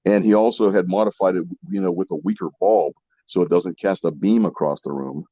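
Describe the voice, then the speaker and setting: A man's voice: low-pitched, fairly quick, warm tone, no room sound. A man co-hosting a podcast, explaining things in casual chat, repeating himself and checking in with his listeners. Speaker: podcast co-host. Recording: muffled lo-fi audio, dry.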